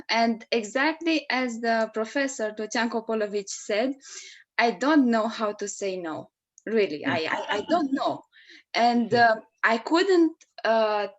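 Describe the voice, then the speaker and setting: A young woman introduces herself informally as a student. Her voice sits fairly high. The call audio is a little dull. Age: young adult. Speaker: university student.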